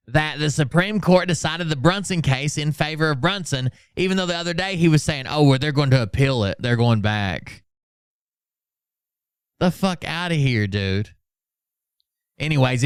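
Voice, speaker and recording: A man hosting a livestream with casual, sarcastic commentary, blunt and quick to swear. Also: a little high, clear, resonant tone, no room sound, studio quality. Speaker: livestream host.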